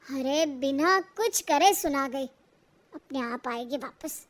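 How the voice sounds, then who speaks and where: A woman's voice: high voice, animated, slow pace, pitched below the performer's own voice. A woman voicing a cheeky young husband with an offhand, bantering manner in story dialogue. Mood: worried. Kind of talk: animated Hindi moral story dialogue.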